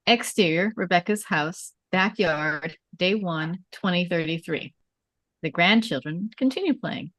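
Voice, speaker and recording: A woman's voice: mid-range voice, no room sound. A woman reading screenplay stage directions as scripted narration, in short clipped storytelling phrases.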